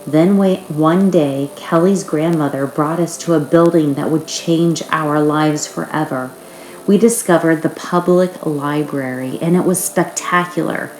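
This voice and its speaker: Female narrator, low register, reading a memoir-like note, roomy sound with faint music. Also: steady tone, a little slow, a little mellow. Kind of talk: reading a first-person author's note aloud.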